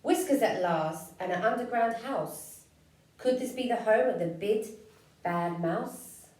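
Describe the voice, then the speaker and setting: A woman reading a rhyming children's picture book aloud with a slow, rhythmic storytelling delivery. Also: mid-range voice, lively intonation, a little mellow. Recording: echoey room, far from mic.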